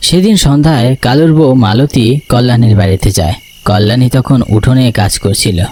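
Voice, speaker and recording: A man's voice: mid-range voice, fairly quick, a little mellow. A man reading scripted narration for a horror cartoon story. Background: music.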